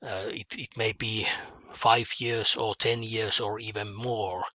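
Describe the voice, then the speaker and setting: Halting Finnish-accented male math teacher. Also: lowish voice, flat delivery, sharp tone, no room sound. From Finland. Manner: halting, many fillers and repeated words. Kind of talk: spoken lecture to students.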